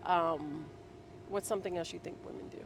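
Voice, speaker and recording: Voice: mid-range voice, moderate pace, vocal fry. Host, casual chat, agreeable, frequent short affirmations, traffic noise outside. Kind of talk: casual conversation. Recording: outdoor street noise.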